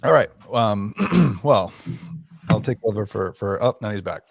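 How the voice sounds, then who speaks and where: A male guest chats in an easygoing, joking manner. His voice is low, and the sound is dry and close with no room echo. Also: animated, measured pace, creaky, husky. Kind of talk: casual chat.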